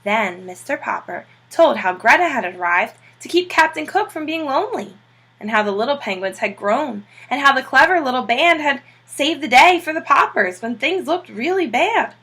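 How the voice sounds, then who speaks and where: A woman reading a children's book aloud in an expressive narrating style, like a teacher. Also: high voice, normal speed, breathy edge.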